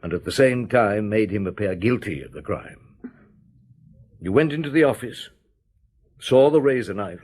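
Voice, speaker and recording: A calm French police inspector, husky low voice, lively inflection, radio play. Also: male voice. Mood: firm. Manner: measured, quietly insistent. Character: middle-aged French police chief inspector, calm and probing. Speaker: detective. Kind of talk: 1970s BBC radio drama acting.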